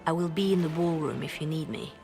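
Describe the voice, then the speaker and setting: A gravelly spymaster. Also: female voice, low-pitched, quick pace. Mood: brisk. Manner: calm, businesslike, dismissive. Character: cool scheming female spymaster. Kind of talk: video game cutscene acting. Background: music.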